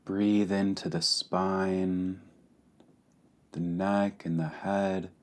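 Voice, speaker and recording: A man leading a guided meditation calmly and deliberately, pausing between short phrases. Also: low-pitched. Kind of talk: guided meditation, slow and spacious.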